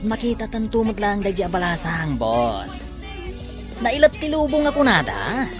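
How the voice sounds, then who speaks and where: A woman's voice: medium pitch, very animated, moderate pace, natural voice. A woman plays a deferential, eager sidekick in a radio drama. The chatty voice sits mid-range and swings expressively, with music behind it. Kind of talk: Filipino radio drama dialogue.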